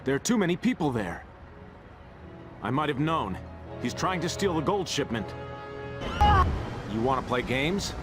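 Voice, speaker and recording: An actor playing a calm, earnest, heroic male superhero in an animated game. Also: a little high, a little slow, pauses often, music in the background. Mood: determined.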